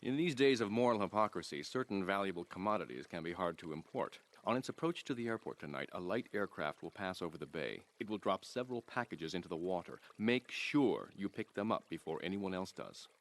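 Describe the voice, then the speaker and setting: An actor playing a composed, calculating, wealthy crime patron in a video game, speaking in a pompous, businesslike way. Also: male voice, low voice, animated, brisk, hardly any pauses. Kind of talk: video game mission briefing.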